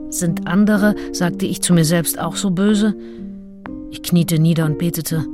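A woman's voice: on the low side, unhurried, close to an everyday voice. An actress playing a passionate, self-questioning Englishwoman of the early 1800s who confides her diary in an intimate, introspective way in a radio play. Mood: remorseful. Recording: music behind the voice. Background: music with drums.

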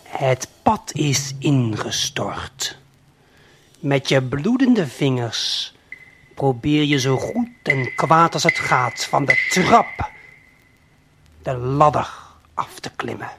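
A man narrating an audio play in the second person, deliberate and suspenseful, speaking straight to the listener. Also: mid-range voice, expressive, bright, forceful tone, slightly rough. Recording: late 1970s vinyl LP production. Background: prominent music.

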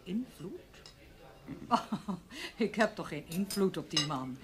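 A woman's voice: on the low side, expressive, slow pace, noisy background. An actress playing an eager, evasive woman journalist in a Dutch radio play, hesitant and flustered. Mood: defensive, evasive.

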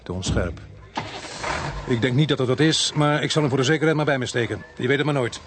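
A man playing a police inspector tells his case in a dry, wry way, at a middling pitch. It is radio drama, with some noise in the recording. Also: quick pace, close to an everyday voice. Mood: brisk, businesslike. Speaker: narrator and lead character. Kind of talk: radio drama acting and narration.

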